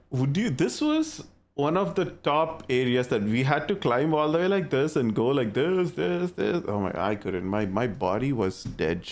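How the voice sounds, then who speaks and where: A young man with an Indian accent talks to his stream chat in a loose, casual way and swears easily. His voice sits at a middle pitch but jumps around a lot as he reacts.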